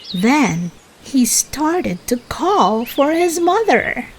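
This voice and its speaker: Slow, animated woman reading a children's story. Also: on the high side, a little hiss or hum. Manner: deliberate, expressive storytelling for young listeners. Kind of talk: children's read-aloud narration.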